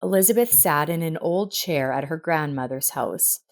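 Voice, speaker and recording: A woman narrates a scripted family story. Her voice sits a little low for a woman, and she reads as a storyteller would. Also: normal speed.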